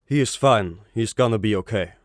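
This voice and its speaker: An actor playing a calm, learned older male professor in an anime-style dub, explaining things in a measured way. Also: on the low side, no echo, studio quality, close to an everyday voice. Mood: grave, reassuring.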